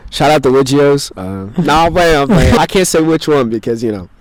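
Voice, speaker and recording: A man's voice: fairly high pitch, lively intonation, fairly quick, dry recording, rough recording. A man hosting a podcast in casual chat, teasing his guest, laughing between lines and often trailing off mid-thought. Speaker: podcast host.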